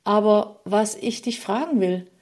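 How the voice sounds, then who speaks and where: A woman voicing a small, gentle old woman named Hope in a storybook, speaking softly and comfortingly. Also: mid-range voice, a little slow, pitched above the performer's own voice, natural voice. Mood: calm. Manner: soft, tender, comforting.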